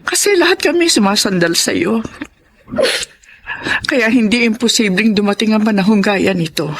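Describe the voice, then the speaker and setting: A woman's voice: mid-range voice, lively intonation, moderate pace. An actress playing a loving, apologetic, self-sacrificing mother in a Filipino radio drama, speaking with tender, earnest emotion. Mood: tearful, grateful. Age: middle-aged. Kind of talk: Tagalog radio drama acting.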